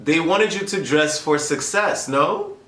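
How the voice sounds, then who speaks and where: A man's voice: on the high side, expressive, a little slow, reverberant, background noise. A man hosting an interview, asking curious, conversational questions. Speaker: host.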